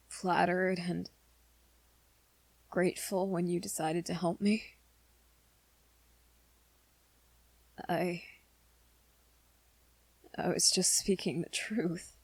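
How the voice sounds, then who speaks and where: A woman voicing a shy, earnest, lovestruck young male werewolf in an ASMR romance roleplay, with halting, hesitant, soft-spoken delivery. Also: on the low side, creaky, dry recording, exaggerated voice, low-quality recording. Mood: tentative, tender.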